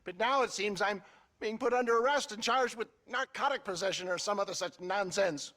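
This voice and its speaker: An actor playing a rambling, flustered old fraudster in a video game, with a theatrical manner. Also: male voice, high voice, a little fast, sharp tone. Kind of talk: video game cutscene acting.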